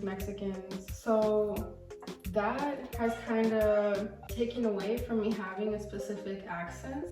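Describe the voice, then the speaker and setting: A woman from the United States chatting in a rambling way while she cooks in her home kitchen. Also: medium pitch. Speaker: cooking vlogger. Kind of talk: casual cooking vlog chat. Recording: echoey kitchen, distant mic, soft music.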